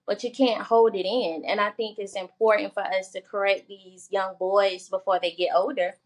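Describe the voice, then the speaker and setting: A soft-spoken woman guest telling her story earnestly in a casual chat over a video call. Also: medium pitch. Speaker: guest, mother of two.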